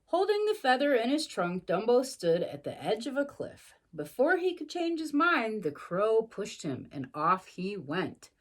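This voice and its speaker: A woman's voice: a little high, wildly swooping pitch, measured pace, few pauses. A woman reading a storybook to young children expressively, adding sympathetic little asides.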